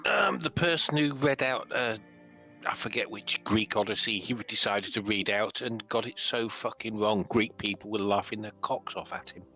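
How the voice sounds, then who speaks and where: A man's voice: on the low side, moderate pace, bright, forceful tone, soft background music, low-quality recording. A man in a casual group chat, dry and sarcastic, swearing freely.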